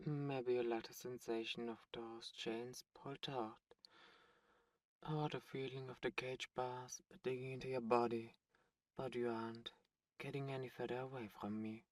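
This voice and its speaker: A man playing a smug, teasing demon in an ASMR roleplay, speaking in a taunting, deliberate way with drawn-out pauses. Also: mid-range voice, flat delivery, no room sound, recorded from a distance, close to an everyday voice, low-quality recording. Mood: coaxing, threatening. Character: smug teasing male demon buyer at a captive auction. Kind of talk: ASMR roleplay audio drama.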